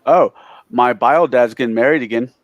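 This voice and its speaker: A man's voice: mid-range voice, normal speed, no room sound, lo-fi sound. Boisterous man, dry close sound. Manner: loud, boisterous talker. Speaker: tabletop game player. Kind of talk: casual chat on a livestream.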